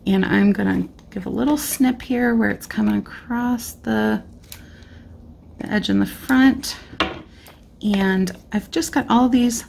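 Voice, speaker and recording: A woman's voice: mid-range voice, takes it slowly, vocal fry, a little mellow, a little hiss or hum. A woman hosting a card-making tutorial, chatting casually and thinking aloud as she works, slightly losing her voice. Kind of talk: casual crafting tutorial narration. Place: indoor craft desk. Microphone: overhead camera, hands only on craft mat.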